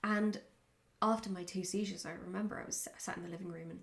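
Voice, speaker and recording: A woman chatting casually in a question-and-answer vlog, speaking in a candid, reflective and somewhat halting way. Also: fairly low pitch, fairly even intonation, creaky. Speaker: writer answering follower questions.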